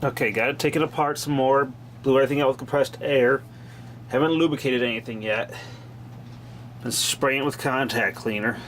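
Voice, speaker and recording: Male hobbyist repairer, medium pitch, informal and plain-spoken, unscripted how-to narration, noisy home-video sound. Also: steady tone, normal speed, fairly bright tone. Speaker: hobbyist repairer of vintage tape machines. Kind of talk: casual off-the-cuff repair narration. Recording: clearly noisy amateur video recording.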